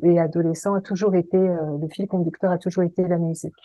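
A woman from the Paris region with a French accent, talking conversationally about herself as an interview guest, hesitant and pausing often. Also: fairly low pitch, little pitch movement, warm tone, no echo. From Paris region, France. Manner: hesitant, halting delivery with many pauses. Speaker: interview guest, voice coach and singing teacher. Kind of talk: conversational personal account.

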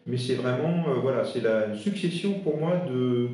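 A man from eastern France whose first language is Alsatian, an interim HR director answering podcast interview questions in a halting way, full of fillers and restarts. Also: mid-range voice, expressive, mellow. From eastern France, Alsace. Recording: very echoey room with faint noise.

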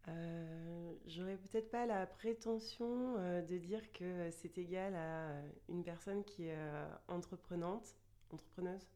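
A woman in her thirties answering interview questions conversationally, often pausing mid-sentence as she looks for her words. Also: fairly low pitch, mellow. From France. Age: 30s. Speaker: podcast guest, luxury boutique director.

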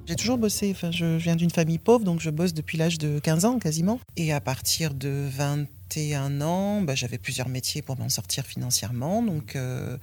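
A woman's voice: low-pitched, moderate pace, hardly any pauses, darkish tone, soft background music. French woman in her 50s talking straight, blunt, gets fired up, no filter. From France. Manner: frank and blunt, swears, rising indignation. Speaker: interviewee, former athlete. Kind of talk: candid personal testimony.

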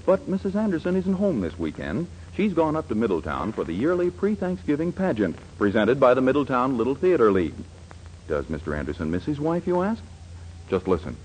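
A man's voice: medium pitch, wildly swooping pitch, fairly quick, darkish tone, a little hiss or hum, rough recording. A man working as a radio announcer in 1940s style, reading scripted introductions and ads with polished showmanship.

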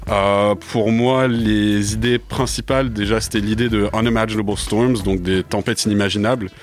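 A man's voice: fairly low pitch, steady tone, moderate pace. A man in his twenties, a native English speaker, answering a radio interview in a studio with many hesitations and fillers. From France. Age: 20s. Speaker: rapper guest.

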